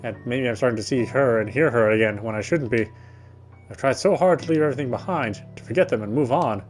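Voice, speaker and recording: A man's voice: mid-range voice, fast talker. A man playing a visual novel and reading its narration aloud as he goes. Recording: game music behind the voice.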